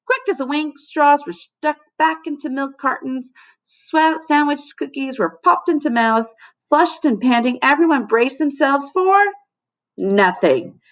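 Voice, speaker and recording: A woman teacher narrating a children's storybook aloud in an expressive, dramatic way. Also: high voice, unhurried. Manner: animated, dramatic.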